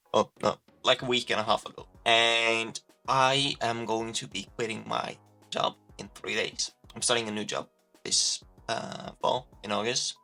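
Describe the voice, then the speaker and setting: Gravelly, bright-voiced young man vlogging. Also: fairly low pitch. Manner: relaxed, rambling, frequent fillers and self-corrections. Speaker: language-learning vlogger. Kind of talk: casual talking-to-camera update.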